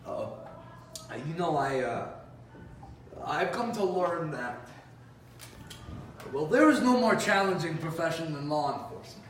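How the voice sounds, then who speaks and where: A man's voice: on the high side, animated, fairly bright tone. Man acting a theatrical cop monologue, echoey room. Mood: sombre, reflective. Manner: theatrical, conversational, with dramatic pauses. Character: world-weary male police officer telling beat stories, animated, incredulous. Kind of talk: competitive dramatic monologue performance. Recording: roomy, distant mic with faint noise.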